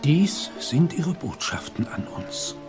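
An actor playing an old grandfather, telling treasure legends solemnly with hushed, dramatic pauses in dubbed film dialogue. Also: fairly high pitch, sing-song, over music. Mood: solemn, mysterious. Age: older.

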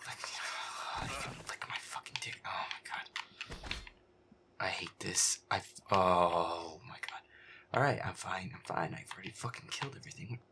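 A man plays a feisty young boyfriend in an audio roleplay, grumbling and swearing through a game. His voice swings widely in pitch and often drops into breathy, exasperated muttering under his breath. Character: feisty foul-mouthed werewolf boyfriend grudgingly playing scary game. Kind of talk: improvised-sounding gameplay audio roleplay.

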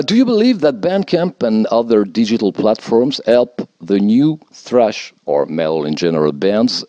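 French-speaking interviewer reading questions. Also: male voice, fairly low pitch, a little mellow, no echo, lo-fi sound. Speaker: radio metal show interviewer. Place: festival backstage room, indoors.

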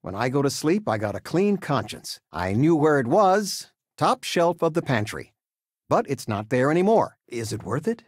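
Game dad, older: husky, very animated pitch, folksy, fussing, gently humorous retired teacher. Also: male voice, normal speed, no room sound, studio quality. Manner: hesitant, folksy, expressive. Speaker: voice actor. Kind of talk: video game character dialogue.

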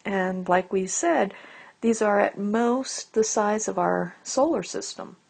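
A woman giving an explanatory lecture as a teacher, phrasing deliberately and stressing key words. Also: mid-range voice, vocal fry, breathy edge. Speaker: astronomy lecturer. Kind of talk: explanatory lecture over slides.

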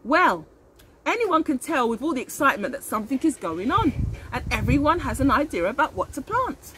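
A woman's voice: high-pitched, brisk. A middle-aged woman, an early years teacher, reading a picture book aloud expressively and speaking to children. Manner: animated, addressing children with questions. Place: outdoors in a leafy garden. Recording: faint outdoor background noise.

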